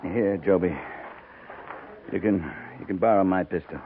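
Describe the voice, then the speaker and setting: An American actor playing a steady, commanding frontier cavalry captain in a 1950s radio drama, speaking tersely and firmly. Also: male voice, low-pitched, measured pace. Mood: grave, quiet. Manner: terse, firm, laconic. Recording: tinny, band-limited, noisy 1950s radio recording.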